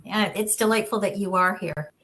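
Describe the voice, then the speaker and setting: A woman's voice: medium pitch, normal speed, slight background noise, poor audio. A woman moderating a student panel over video call, encouraging and affirming. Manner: warm encouraging interviewer, frequent affirmations.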